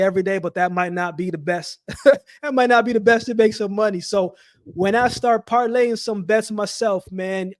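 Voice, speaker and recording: A man's voice: high-pitched, dry recording, studio quality. A man from Jamaica and Canada hosting a casual livestream, talking in slang and repeating phrases for emphasis. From Jamaica-born, raised in Canada. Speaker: livestream host. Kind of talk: casual live chat with viewers, boxing commentary.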